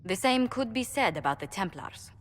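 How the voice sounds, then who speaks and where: A woman playing a calm, shrewd spymaster in a video game, speaking with composed deliberation. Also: medium pitch, normal speed, long reverb tail, natural voice. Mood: persuasive.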